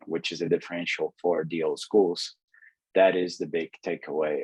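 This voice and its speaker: A man's voice: sits low, husky, no echo, rough recording. A man in his twenties from Brazil, a medical student explaining things in a webinar presentation, often restarting his sentences. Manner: explanatory, with frequent restarts and fillers.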